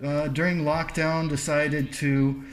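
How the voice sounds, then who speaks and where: A man chatting casually as a podcast host, telling stories in an animated way. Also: fairly high pitch, takes it slowly. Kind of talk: casual chat.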